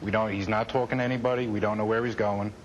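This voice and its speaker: Flat, lowish-voiced American man. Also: quick pace, lo-fi sound, crowd noise. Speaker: air traffic controller interviewee. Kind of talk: first-hand recollection in interview.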